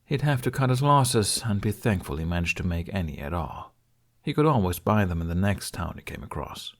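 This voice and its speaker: A man's voice: deep voice, animated, a little fast. A man reading a fiction audiobook as its narrator, in a scripted storytelling style.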